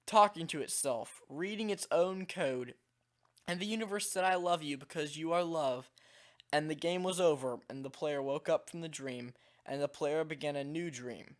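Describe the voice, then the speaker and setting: A man with a slightly hoarse, worn-out voice, reading a game text aloud in a calm, measured way. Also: on the high side. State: slightly hoarse, voice nearly gone. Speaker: video creator.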